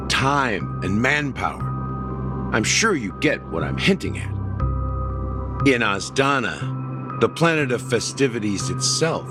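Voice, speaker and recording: An actor voicing a scheming middle-aged man in a game cutscene, measured and wry as he explains. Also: medium pitch. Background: game score music.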